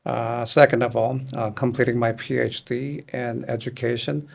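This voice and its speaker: A man raised in the United States, speaking as a podcast guest about his studies, measured and grateful. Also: medium pitch, little pitch movement, gravelly. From born in South Korea, raised in the United States. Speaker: guest, alumnus. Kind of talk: podcast interview.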